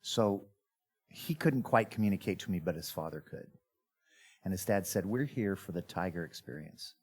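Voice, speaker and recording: Middle-aged male entertainer, very low voice, chatty interview storyteller. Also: normal speed, breathy edge. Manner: animated storyteller who acts out quoted dialogue. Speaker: magician guest telling his life story.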